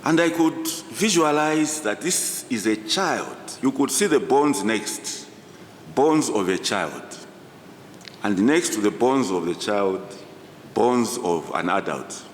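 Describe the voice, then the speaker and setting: Slow, echoing male conference orator. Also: lively intonation. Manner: deliberate, emphatic, short phrases with pauses. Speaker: professor giving a conference address. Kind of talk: formal public speech, storytelling. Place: large indoor conference hall. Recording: echoey hall, distant reverberant sound, low rumble.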